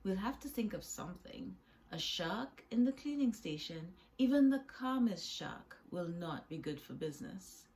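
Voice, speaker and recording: A woman's voice: lowish voice, lively intonation, normal speed, darkish tone. A small, sensible goby fish from a storybook voiced by a woman reader. He is earnest and level-headed, speaking firmly like the one in charge. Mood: sober.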